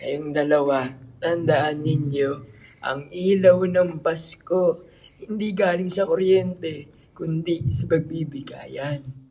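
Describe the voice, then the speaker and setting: A man playing a kindly old village grandfather who tells Christmas legends in a radio drama, with a husky voice. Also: fairly high pitch, fairly even intonation, slow, darkish tone, full character voice, low-quality recording. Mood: gentle, wise.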